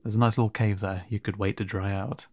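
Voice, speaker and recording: A man playing a kind, awkward siren merman in an audio roleplay, speaking to the listener in a hesitant, stammering, gently encouraging way. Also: on the low side, level tone, quick pace, natural voice. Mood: hesitant, reassuring. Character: kind, awkward male siren merfolk guiding a newcomer. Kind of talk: intimate audio roleplay drama, addressed to the listener.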